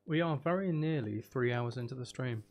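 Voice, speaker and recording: A man's voice: medium pitch, normal speed. A man casually chatting on a live game stream, musing aloud and talking to viewers as he plays. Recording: faint background noise.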